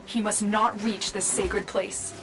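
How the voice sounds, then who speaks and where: A woman playing a solemn, gentle guide in a sci-fi video game, measured and earnest. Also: medium pitch, level tone, music in the background, natural voice, low-quality recording. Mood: urgent, solemn. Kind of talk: video game character acting.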